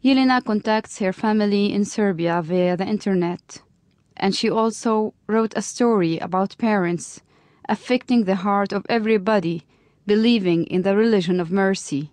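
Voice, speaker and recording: A woman's voice: mid-range voice, moderate pace, darkish tone, no room sound. Woman narrating a formal introduction, dry sound. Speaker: host.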